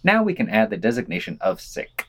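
A man's voice: fairly low pitch, wildly swooping pitch, brisk, slight background noise. A man co-hosting a podcast, reading scripted news items and quotations with dry, wry asides.

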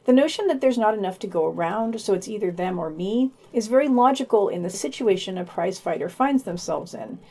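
Female podcast newsreader, medium pitch, scripted delivery broken up by informal asides. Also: expressive, brisk, few pauses, warm tone, a little hiss or hum. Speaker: podcast host reading news digest. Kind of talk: scripted news reading with casual asides.

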